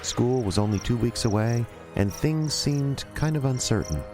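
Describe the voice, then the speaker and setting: A man's voice: mid-range voice, lively intonation, normal speed, close to an everyday voice. A man acting as a folksy storyteller, narrating an audio drama directly to listeners. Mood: folksy, wistful. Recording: music behind.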